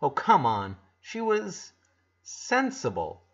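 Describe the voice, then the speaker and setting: A man's voice: on the high side, huge pitch swings, takes it slowly, fairly bright tone, breathy edge, dull audio, full character voice. A man voicing a kind, earnest eleven-year-old boy in an audiobook story, reassuring and a little hesitant.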